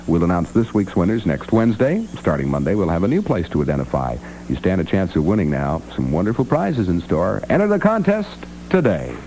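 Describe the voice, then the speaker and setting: American guy hosting morning radio, lively pitch, talks right to listeners, noisy old 60s aircheck. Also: fairly quick, few pauses. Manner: conversational, addresses listeners directly. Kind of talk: live radio announcing and banter.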